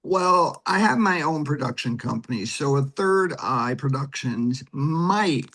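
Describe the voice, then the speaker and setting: A man with a husky voice giving conversational interview answers, explaining things step by step. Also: fairly high pitch, lively intonation, measured pace, fairly bright tone. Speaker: guest, theater director and producer.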